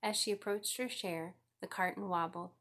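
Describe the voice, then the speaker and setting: A woman's voice: on the low side, fairly even intonation, moderate pace, a bit bright. A woman narrating a children's book aloud as a scripted story reading. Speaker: audiobook reader.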